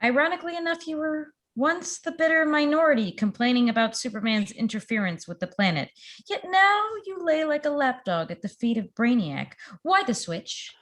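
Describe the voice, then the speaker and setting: A woman playing a sharp, skeptical reporter in a script table read, pointed and challenging. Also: high-pitched, sing-song, normal speed, heavily acted.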